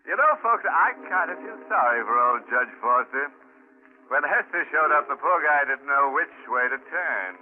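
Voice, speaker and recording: An actor playing a folksy, sardonic horror show host who drawls slangy, ghoulish puns in vintage radio style. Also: male voice, fairly high pitch, lively intonation, normal speed, phone-quality sound. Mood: wry amusement. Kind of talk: 1940s radio horror hosting. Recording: thin old radio broadcast.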